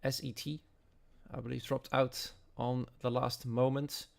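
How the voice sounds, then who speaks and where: A man's voice: mid-range voice, level tone, unhurried, vocal fry. A man with a Dutch accent, speaking English as a non-native, giving live commentary as an esports racing commentator. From Netherlands.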